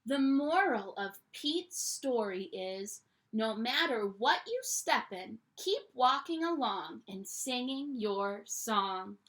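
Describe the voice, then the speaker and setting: A young woman teacher reading a picture book aloud to children at home, in an animated storytime voice that invites them to join in. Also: fairly high pitch, slow pace, rough recording. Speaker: primary school teacher.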